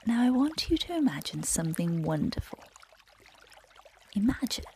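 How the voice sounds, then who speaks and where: A woman guiding children through a relaxation and bedtime story in a gentle, soothing, breathy voice that often drops close to a whisper. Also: mid-range voice, big pitch swings, normal speed, slight background noise. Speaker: meditation guide and bedtime storyteller.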